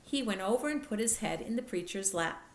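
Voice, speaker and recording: A woman reading a children's chapter book aloud expressively, with careful, deliberate storytelling delivery. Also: medium pitch, expressive, roomy sound.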